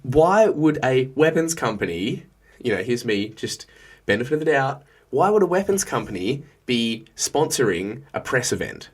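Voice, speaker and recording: A man's voice: medium pitch, sing-song, breathy edge. A man co-hosting a political podcast, speaking in a wry, sarcastic way. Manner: wry, sarcastic, drawn-out emphatic phrasing. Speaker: podcast co-host. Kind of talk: casual political chat.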